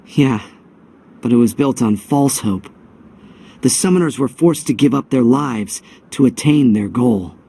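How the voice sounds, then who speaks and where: A man's voice: mid-range voice, lively intonation, measured pace, warm tone, husky. An actor playing an earnest, wistful young male hero, a resolved outcast, with reflective, solemn delivery in a video game cutscene.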